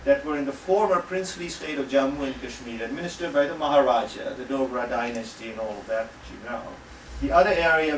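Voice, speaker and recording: A middle-aged man from Pakistan, speaking as a panelist at an academic event in a measured way, with frequent pauses and dry asides. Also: mid-range voice, distant mic. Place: lecture hall. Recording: echoey hall, speaker off-mic, faint room noise.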